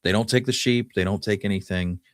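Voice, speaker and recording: Male podcast storyteller: deep register, informal halting delivery, dry recording. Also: no echo. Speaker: podcast host. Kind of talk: conversational storytelling of Western history.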